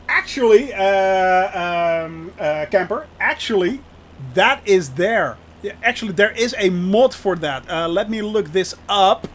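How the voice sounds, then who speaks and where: A man's voice: high voice, fairly bright tone, slight background noise. A Dutch man, native Dutch speaker, giving chatty live-stream commentary while flying a sim and answering his chat with frequent laughs. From Netherlands.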